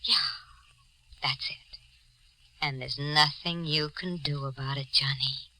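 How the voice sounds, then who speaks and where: A sharp-edged, low-pitched femme fatale. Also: female voice, fairly even intonation, slow, full character voice, rough recording. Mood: menacing, taunting. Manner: coolly taunting, insistent. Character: cold, manipulative femme fatale scheming over murder. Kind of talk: 1940s radio thriller acting.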